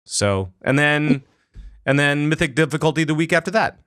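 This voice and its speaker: A man's voice: fairly high pitch, animated, moderate pace, fairly bright tone, dry recording, clean studio sound. A man hosting a podcast, chatting casually about a game and rambling with many fillers and restarts. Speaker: podcast host. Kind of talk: casual gaming chat.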